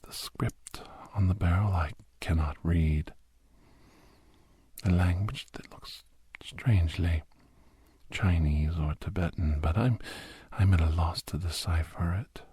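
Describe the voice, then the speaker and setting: A man playing a menacing, cultured, hungry villain in an ASMR drama, slow and deliberate, in a breathy whisper. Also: low-pitched, mellow, a little hiss or hum. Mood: musing, refined. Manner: slow, deliberate, halting, savoring phrases. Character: menacing, cultured captor, hungry island-dwelling werewolf villain.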